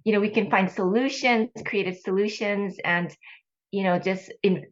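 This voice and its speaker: A woman in her sixties from Hawaii, talking earnestly as an interview guest, with halting restarts. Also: mid-range voice, dull audio. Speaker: guest, ministry leader. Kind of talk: casual interview talk.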